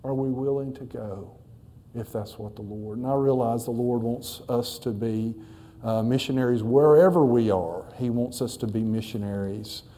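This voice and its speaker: A man's voice: mid-range voice, normal speed, mellow. A middle-aged man, a missionary pastor, talking to a church congregation in a folksy way with many fillers and restarts.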